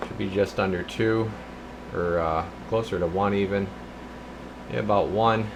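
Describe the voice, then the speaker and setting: Low-voiced man doing a casual demo, background hum. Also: fairly even intonation, unhurried. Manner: informal, muttering step-by-step to himself and viewers. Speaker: host of a radio repair and test video channel. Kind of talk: casual hands-on demonstration, talking while working.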